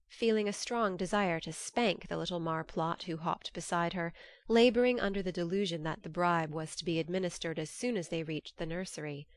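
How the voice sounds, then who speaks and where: A woman with a fairly low voice reads literary narration as an audiobook narrator. The recording is close and dry. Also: no room sound.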